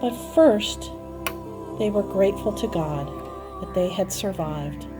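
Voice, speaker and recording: Woman, children's storyteller, expressive delivery, unhurried pace, music behind. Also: medium pitch. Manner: expressive, dramatic emphasis with repeated phrases and gasps. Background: music and sound effects.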